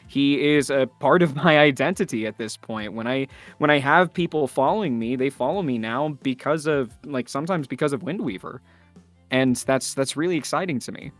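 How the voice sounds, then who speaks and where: A man's voice: medium pitch, normal speed, no room sound, faint music behind. A man giving thoughtful, earnest interview answers in a conversational way, stressing his key words. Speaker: voice actor being interviewed.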